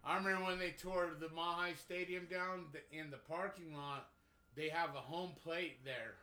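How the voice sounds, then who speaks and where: A man co-hosting a podcast, excitable and quick to swear in casual banter. His voice is forceful and bright, sitting slightly above average pitch. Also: reverberant. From Denver, United States. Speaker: podcast co-host.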